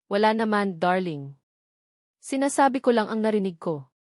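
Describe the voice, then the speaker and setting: A woman's voice: medium pitch, lively intonation, normal speed, a little mellow, no echo, lightly acted. A scheming female rival from a romance storybook, voiced by a woman narrator. She is jealous and taunting, hiding spite under false concern. The sound is dry and close.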